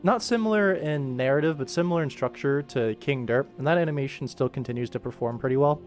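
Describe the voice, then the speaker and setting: Casual male livestreamer over soft music. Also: mid-range voice, quick pace. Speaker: livestream host and animator. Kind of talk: casual livestream chat while working. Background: faint background music.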